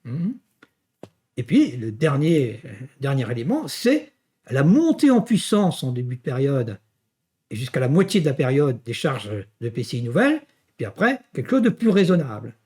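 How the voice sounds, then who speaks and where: A man's voice: medium pitch, wildly swooping pitch, moderate pace, darkish tone. A man giving an explanatory lecture, didactic, often checking in and posing rhetorical questions as he walks through his material. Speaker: university lecturer. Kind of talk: explanatory finance lecture walking through slides.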